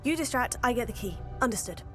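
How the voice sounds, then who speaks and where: A woman's voice: a little high, expressive, fast, faint music behind, lightly acted. An actress playing a plucky, polite teenage schoolgirl witch in a video game, earnest and composed. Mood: determined, concerned. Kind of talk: video game cutscene acting.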